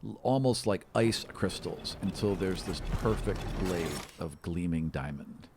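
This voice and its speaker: A man's voice: deep voice, measured pace, noisy background. A man narrating a tabletop game as game master, improvising in a conversational, enthusiastic way.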